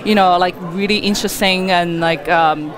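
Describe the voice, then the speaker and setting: A woman's voice: lowish voice, steady tone, normal speed, crowd noise, low-quality recording. A woman being interviewed as a wedding photographer, talking conversationally in a rambling, chatty way with "like" as a frequent filler.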